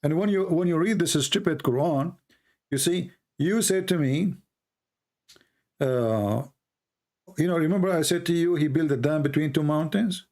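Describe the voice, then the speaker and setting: An insistent man with an Arabic accent pushes his point hard and repeats words for emphasis. His voice sits a little high for a man. He is hosting a heated debate. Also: moderate pace, pauses often, a little mellow. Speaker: debate host.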